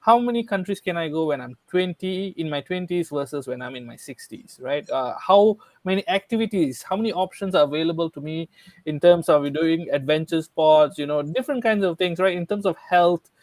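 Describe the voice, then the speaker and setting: A man explaining ideas in a relaxed podcast conversation, earnest and thorough, often checking in with "right?". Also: a little high, fairly quick, dry recording. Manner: earnest, explanatory, frequent "right?" tag questions. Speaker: guest speaker.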